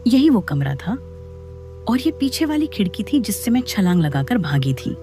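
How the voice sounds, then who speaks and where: A woman's voice: medium pitch, very animated, moderate pace, dark tone, faint music behind, lightly acted. A woman playing an eerie spirit posing as a deferential, insistent village girl in a horror audio story. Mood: bitter.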